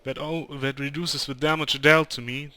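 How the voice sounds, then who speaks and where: A man's voice: a little high, flat delivery, moderate pace, sharp tone, no echo. A young German man with a German accent, speaking English as a second language, giving hesitant gameplay commentary. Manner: hesitant, many ums and restarts. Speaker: gamer.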